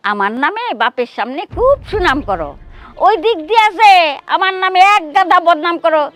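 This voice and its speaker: A woman's voice: extremely high pitch, expressive, a little fast, a bit bright, soft background music. A woman playing a middle-aged, spiteful and greedy mother-in-law in a TV drama, scolding scornfully with a rural Bangladeshi accent. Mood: accusing, domineering. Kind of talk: melodramatic Bangla TV drama acting.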